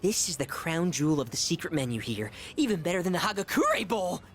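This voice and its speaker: A pompous teenage foodie from a video game. The voice is high for a male and swings theatrically from squeals to low asides, over faint background music. He sounds bombastic and greedy. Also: sing-song, fairly quick, a bit bright. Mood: excited, showy. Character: gluttonous, pompous, theatrical male high-school student, self-styled gourmet.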